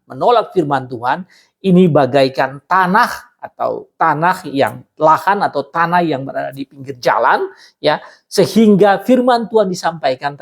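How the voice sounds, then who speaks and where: Man preaching in a didactic way. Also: on the high side. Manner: repeats and rephrases phrases for emphasis; didactic, addressing listeners directly. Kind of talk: expository Bible sermon.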